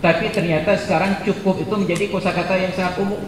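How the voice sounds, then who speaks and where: Man, 40s, preacher, joking, animated, emphatic, long reverb hall, background noise. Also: high-pitched, quick pace. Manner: jokey, animated, builds to loud emphatic peaks. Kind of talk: humorous sermon at a religious gathering.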